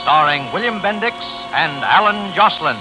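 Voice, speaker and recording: Male 1940s radio announcer, very high for a man, dramatic scripted intro over loud music. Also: expressive, normal speed, low-quality recording.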